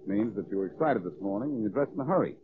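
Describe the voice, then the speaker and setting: Suave radio detective, muffled recording. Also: male voice, fairly low pitch, lively intonation, rapid-fire, noisy background. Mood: teasing, confident. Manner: flippant, smooth-talking, teasing. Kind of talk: 1940s radio detective drama acting.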